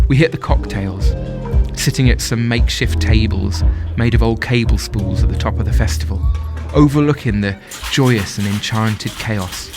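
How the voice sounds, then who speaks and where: A man's voice: fairly low pitch, natural voice. An actor playing a smitten, self-doubting young festival-goer, telling his story as a confiding, wry dramatic monologue. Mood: giddy, smitten.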